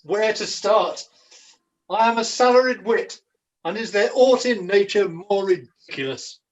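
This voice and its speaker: Someone playing a sad gullible panto jester, slow n declamatory, old-timey wording, home video call sound. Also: mid-range voice, lively intonation, lo-fi sound, lightly acted. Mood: mournful. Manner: theatrical, declamatory, archaic wording. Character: melancholy, gullible jester Jack Point, theatrical and lovelorn. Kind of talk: amateur pantomime acting.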